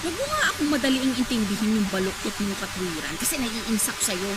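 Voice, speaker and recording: An actress playing a brash, scornful, sharp-tongued friend in a radio drama, mocking and taunting as she talks. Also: on the high side, sing-song, fast, poor audio. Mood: annoyed, dismissive. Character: brash, scornful woman friend pushing a shady sideline. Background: loud background music.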